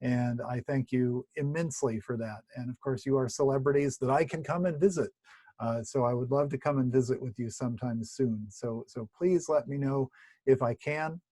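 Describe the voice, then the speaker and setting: A man's voice: medium pitch, normal speed, no room sound. A pastor leading a casual Bible study from home, his voice slightly scratchy and tired, chatting with lots of fillers and restarts. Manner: chatty, warm asides with many fillers and restarts. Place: at home, indoors. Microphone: video recording at home.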